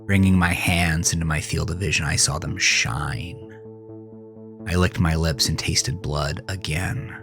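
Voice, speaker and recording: A man acting as a haunted, rage-filled narrator, telling a first-person horror story in an intense, brooding, deliberate way. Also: low-pitched, steady tone. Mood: haunted, subdued. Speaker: narrator of a horror audio drama. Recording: music bed under the voice.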